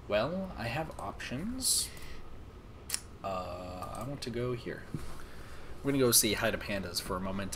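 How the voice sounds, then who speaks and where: A young man streaming a game late at night, talking softly and calmly, almost whispering so as not to wake anyone. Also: medium pitch, background noise. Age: young adult. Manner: soft, calm, near-whispering. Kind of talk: casual gaming stream chat. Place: room at home, late at night.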